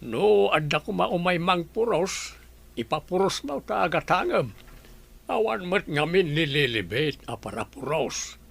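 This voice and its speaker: A man's voice: sits high, lively intonation, a little slow, creaky, a bit bright, slightly rough, heavily acted. A man playing a friendly old village man in a radio drama.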